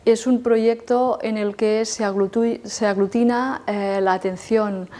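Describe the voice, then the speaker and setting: A woman's voice: a little high, steady tone, unhurried, warm tone. A social worker in her fifties explaining her organisation's work in a plain way. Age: 50s. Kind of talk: documentary interview.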